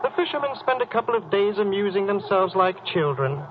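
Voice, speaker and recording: High, animated, genteel merchant. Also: male voice, brisk. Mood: genial, earnest. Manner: earnest, formal, preachy. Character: genteel, pious, peace-preaching village merchant. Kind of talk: 1940s radio drama acting. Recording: dull, noisy 1940s radio recording.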